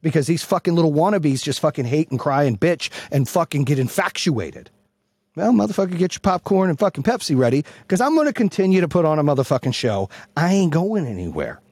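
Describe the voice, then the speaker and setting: A man giving a brash, profane, emphatic motivational monologue in a husky voice, repeating phrases for punch. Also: on the high side, big pitch swings, a little fast, darkish tone, no room sound, studio quality. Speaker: motivational speaker and host.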